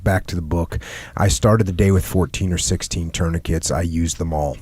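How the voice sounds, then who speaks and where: A man's voice: sits low, steady tone, moderate pace, darkish tone, slightly rough, no echo. A man hosting a podcast, reading aloud from a book. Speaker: podcast host reading a war memoir aloud.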